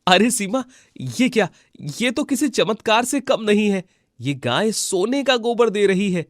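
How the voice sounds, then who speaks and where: Man playing an animated, earnest village husband. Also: high voice, measured pace, dry recording, clean studio sound. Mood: amazed.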